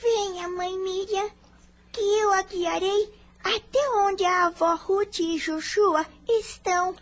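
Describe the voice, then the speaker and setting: A woman's voice: very high voice, steady tone, slow. A woman voicing a sweet, joyful little girl in a radio drama. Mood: joyful, tender.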